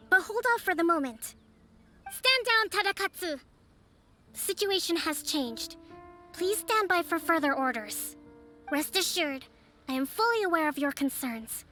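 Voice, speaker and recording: A composed young commander, speaking in a high, gentle voice with careful, courteous diplomacy. Soft music plays faintly underneath. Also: female voice, expressive, heavily acted. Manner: polite, measured, diplomatic. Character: young woman strategist, calm and composed leader. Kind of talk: game voice acting.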